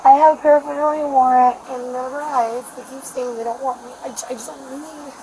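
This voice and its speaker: A woman's voice: sits high, fairly even intonation, fairly quick, a loud crowd. A distressed, anxious middle-aged American woman pleading with police in a rambling, repetitive, protesting way. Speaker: member of the public stopped by police. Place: large grocery store aisle, indoors. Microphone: officer's body camera, a few feet away. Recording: noisy body-camera audio in a store.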